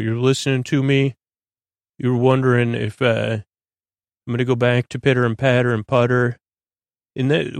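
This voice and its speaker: A man hosting a bedtime podcast in a creaky, lulling voice, droning along in a halting, stammering, meandering monologue meant to help listeners sleep. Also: mid-range voice, no echo, studio quality. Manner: halting, stammering, repeats and plays with words. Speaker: podcast host.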